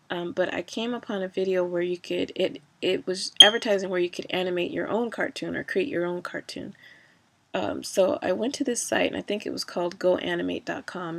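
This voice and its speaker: A woman's voice: mid-range voice, creaky. A woman talking in a casual personal vlog, rambling with many fillers and restarts, a bit stuffy from a cold.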